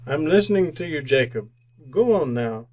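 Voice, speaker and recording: An actor playing a frightened, querulous old miser in an old-time radio drama, hesitant and pleading, often repeating himself. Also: male voice, a little high, expressive, normal speed, a little mellow, natural voice. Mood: anxious, placating.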